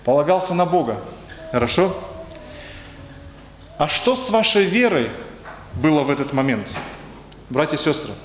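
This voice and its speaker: A man's voice: on the high side, big pitch swings, normal speed, long pauses. A man preaching a conversational sermon in speech, hesitant and searching for words, and putting questions to his congregation. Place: church hall. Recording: echoey hall with faint ambience.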